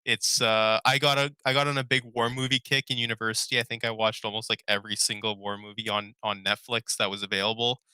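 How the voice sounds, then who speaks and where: A young man talks casually as a podcast co-host, with a very bright, punchy edge and a clean, smooth tone. His delivery is informal and loose, drifting as he hunts for words. The sound is dry, with hardly any room on it. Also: fairly low pitch, flat delivery, fast talker, few pauses, dry recording. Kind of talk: casual chat about favorite movies.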